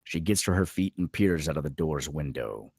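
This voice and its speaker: A man with a very low voice narrates a screenplay. His delivery rises and falls animatedly, and the sound is dry and close. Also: expressive, moderate pace, darkish tone, slightly rough, no room sound. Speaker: narrator of stage directions. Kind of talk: scripted narration at a screenplay table read.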